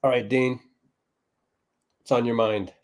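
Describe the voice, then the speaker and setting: A man's voice: medium pitch, steady tone, measured pace. A man hosting a casual livestream chat, talking in an offhand way while juggling tasks. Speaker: livestream host. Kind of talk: casual live-stream chat.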